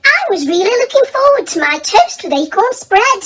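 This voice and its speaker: A woman voicing a prim, indignant squirrel in a storybook, scolding loudly and emphatically. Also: extremely high pitch, sing-song, moderate pace, heavily acted. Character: indignant, prim little squirrel robbed of acorns.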